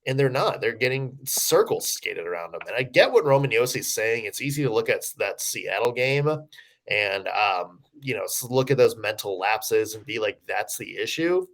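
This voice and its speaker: A man's voice: on the low side, expressive, normal speed, low-quality recording. A man co-hosting a sports podcast, rambling through his analysis with many restarts. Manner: rambling, lots of fillers and restarts. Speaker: podcast co-host. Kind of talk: casual sports analysis chat.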